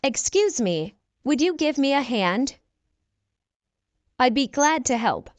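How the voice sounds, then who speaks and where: Slow, high, lively woman teacher. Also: dry recording. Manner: reads short phrases slowly and clearly, repeating each exchange. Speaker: English teacher. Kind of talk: reading practice dialogues for learners.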